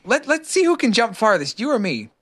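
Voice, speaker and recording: A reader voicing an eager, innocent young kangaroo child with a squeaky, excited way of asking questions, in a storybook. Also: brisk, breathy edge. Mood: eager curiosity.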